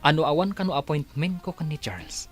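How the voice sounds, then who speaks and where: A man's voice: fairly high pitch, expressive, brisk, over music, close to an everyday voice. A man with an Ilocano accent playing an indignant, mocking schemer in an audio drama. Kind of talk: radio-style drama dialogue.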